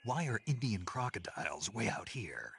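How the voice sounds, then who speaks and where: Gruff deep game soldier, terse n deadpan but pitch moves around, gravelly. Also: male voice, moderate pace, slight background noise. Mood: deadpan, curious. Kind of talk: video game voice acting.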